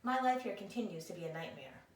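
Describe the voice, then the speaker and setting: A woman's voice: medium pitch, expressive, quick pace, lightly acted. A woman voicing a melodramatic, self-pitying male pet dog with theatrical, wheedling complaints in a picture-book read-aloud. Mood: aggrieved complaining. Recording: echoey room, distant microphone.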